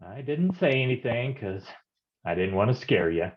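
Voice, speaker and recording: A man's voice: medium pitch, very animated, normal speed, dull audio, a little hiss or hum, close to an everyday voice. A man playing a bumbling, folksy private detective in a staged noir parody, stammering and easily rattled. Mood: nervous bluster. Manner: stammering, nervous chuckling, folksy slang.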